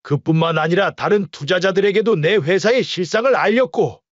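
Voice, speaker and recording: Man as a cold, commanding middle-aged husband, high-ish voice, dry muffled studio sound. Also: fairly quick, no room sound, lightly acted. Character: cold stern wealthy father-in-law.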